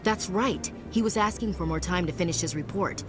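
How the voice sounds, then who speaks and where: A woman's voice: fairly low pitch, a little fast, close to an everyday voice. A woman playing an attentive, earnest young soldier in a video game. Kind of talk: video game cutscene acting. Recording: music behind game dialogue.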